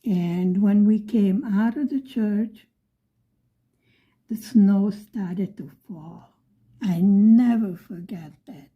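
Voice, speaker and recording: A middle-aged woman from Buffalo, New York, telling a story in a soft, often whispery voice. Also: mid-range voice, slow pace, phone-quality sound. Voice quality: frequently whispery, breathy delivery. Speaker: vlogger.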